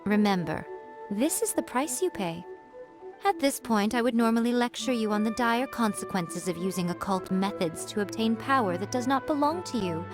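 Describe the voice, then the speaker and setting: A woman's voice: fairly high pitch, lively intonation, moderate pace, over music, natural voice. A woman voicing a sly, teasing fox-spirit shrine priestess in a video game, composed and knowing.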